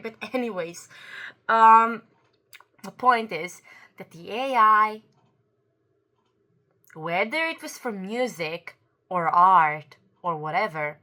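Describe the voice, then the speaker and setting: A woman whose first language is Croatian talks through her opinion in an unhurried, deliberate way. Her voice is bright and forward, and she sounds earnest as she hunts for the right words and corrects herself. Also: mid-range voice. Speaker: YouTube commentator. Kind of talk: opinion commentary to camera.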